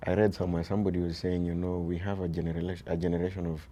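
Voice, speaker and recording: A man with a Kenyan accent and a very deep voice, talking earnestly as an interview guest about raising his sons, often dropping "you see" and shifting into Swahili. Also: flat delivery, rapid-fire, warm tone, lo-fi sound, a little hiss or hum. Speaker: guest, father of boys. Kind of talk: reflective conversational interview.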